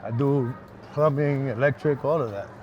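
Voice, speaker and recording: Casting: American man, everyday mid-pitched voice, colloquial explainer in casual street chat, light outdoor noise. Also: normal speed, a little mellow, muffled recording. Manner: colloquial, explanatory, peppered with "you know". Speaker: maintenance worker, interviewee. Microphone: clip-on lapel.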